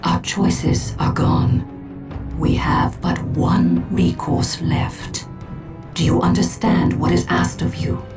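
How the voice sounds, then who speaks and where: A woman's voice: low voice, takes it slowly, music in the background. A woman voicing a proud, solemn alien seer in a video game cutscene, speaking in grave, portentous and cryptic phrases.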